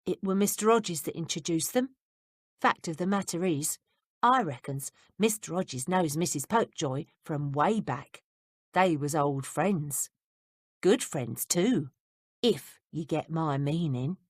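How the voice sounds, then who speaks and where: A woman's voice: low voice, lively intonation, unhurried, no room sound, clean studio sound. A woman voicing a sly, gossipy young male servant with blunt working-class speech. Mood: confiding.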